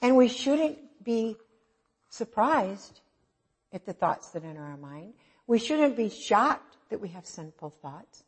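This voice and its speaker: A woman with a low voice preaching an informal, earnest sermon, swinging from loud to soft. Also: big pitch swings, slow pace, husky.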